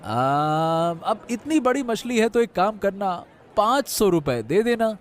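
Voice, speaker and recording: High-pitched, humble village fishmonger played by a man. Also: sing-song, slow, some chatter behind, full character voice. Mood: eager, coaxing. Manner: deferential, coaxing, chuckling. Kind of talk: Hindi cartoon moral story dialogue.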